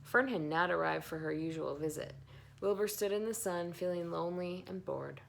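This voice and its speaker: A woman reading a children's book aloud in expressive scripted narration. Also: fairly low pitch, moderate pace, gravelly, slight background noise. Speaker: story reader.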